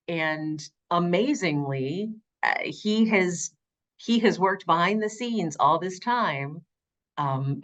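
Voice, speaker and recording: Middle-aged woman, low alto, interview guest recounting her audiobook narration career with emphatic conviction. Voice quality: low alto, changed by vocal nodules. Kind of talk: conversational interview storytelling.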